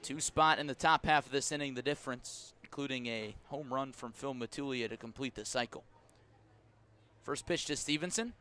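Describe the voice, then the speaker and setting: A man calling live baseball play-by-play as a radio announcer, measured between pitches and lifting with the action. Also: sharp tone, faint music behind. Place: outdoor ballpark broadcast.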